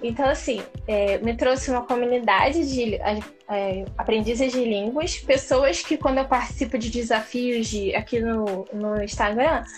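A woman from Brazil chatting as an interview guest, animated and laughing, with many restarts. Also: on the high side, a little slow, hardly any pauses, soft background music. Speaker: interview guest, English learner. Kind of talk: casual live interview chat.

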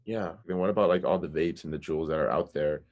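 A man's voice: rumbling low, fast talker, a little mellow, dull audio. A man hosting a podcast, asking questions in a casual, hesitant way full of fillers. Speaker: podcast host.

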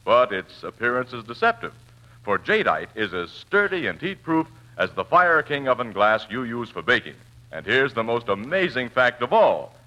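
A male announcer delivers a vintage radio commercial. His mid-pitched voice is bright and animated, with polished, emphatic salesmanship. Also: normal speed, low-quality recording. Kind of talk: 1940s radio commercial read.

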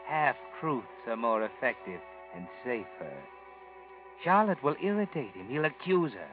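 An actor playing a cold, knowing narrator who addresses the killer in a measured, coolly mocking tone, in 1940s radio drama narration. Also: male voice, medium pitch, big pitch swings. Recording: tinny old radio broadcast with music bed.

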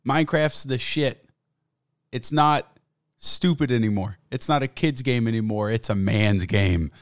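A man's voice: mid-range voice, measured pace, dry recording, studio quality. A young man hosting his own podcast, rambling and cursing casually while sick and losing his voice. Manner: profane, rambling, self-mocking. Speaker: podcast host. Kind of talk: casual solo podcast chat. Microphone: studio mic, close.

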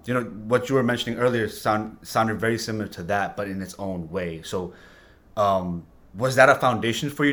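A man with a somewhat low voice co-hosts a podcast. He is casual and informal, piecing his questions together in halting phrases. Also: fairly bright tone. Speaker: podcast co-host. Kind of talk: casual chat.

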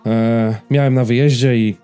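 A man with a medium-pitched, soft-edged mellow voice chats as a podcast co-host. He talks informally about tech and gaming. Music runs underneath his voice. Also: fairly even intonation, normal speed, smooth. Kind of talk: casual tech and gaming chat.

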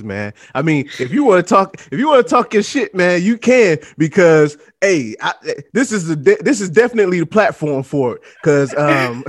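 A relaxed man hosting a podcast, his voice pitched a little high for a man and heard in a dry, close room. He keeps it loose and slangy, with easy filler words. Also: no echo. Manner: laid-back, slangy, frequent "man" and "you know". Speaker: podcast host. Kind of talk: casual interview chat.

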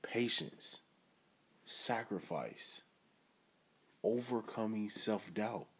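A man's voice: fairly low pitch, animated, very slow, fairly bright tone, slightly rough. A man hosting his own podcast, giving an earnest, self-assured motivational monologue. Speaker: podcast host.

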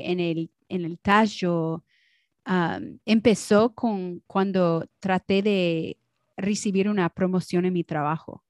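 Slow-talking woman co-hosting a podcast. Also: lowish voice, no room sound. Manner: relaxed, mixes in English words, laughs often. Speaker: podcast co-host. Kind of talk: casual chat.

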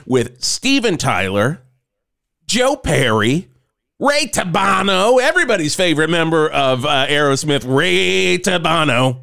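A man's voice: fairly high pitch, sing-song, a little slow, vocal fry, sharp tone, no echo, studio quality. A man co-hosting a comedy podcast, chatting casually and riffing with jokes and silly bits. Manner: jokey, riffing, slips into silly voices. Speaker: podcast co-host.

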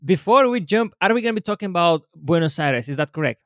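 A man's voice: sits high, expressive, fast, no room sound, clean studio sound. A man from Bulgaria, hosting a casual interview over a video call and asking questions eagerly. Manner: eager, enthusiastic. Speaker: host.

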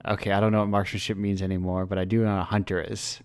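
Low-voiced chatty male podcast host. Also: very fast, clean tone. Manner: relaxed, chatty, asks rambling questions. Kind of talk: casual chat over a call.

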